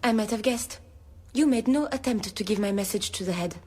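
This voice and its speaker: An actress playing a stern, protective French governess, with a French accent and a commanding, precise manner in a radio drama. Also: female voice, mid-range voice, fairly quick, darkish tone, slight background noise, lightly acted. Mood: cold, menacing. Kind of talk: dramatised radio novel acting.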